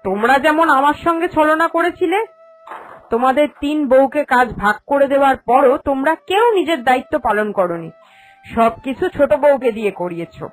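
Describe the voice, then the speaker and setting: A woman's voice: high voice, moderate pace, natural voice, rough recording. Woman acting a stern old mother-in-law. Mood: knowing reproach. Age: older. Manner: authoritative, accusing, formal. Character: stern, cunning elderly mother-in-law of the household. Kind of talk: cartoon storytelling dialogue. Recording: voice over background music.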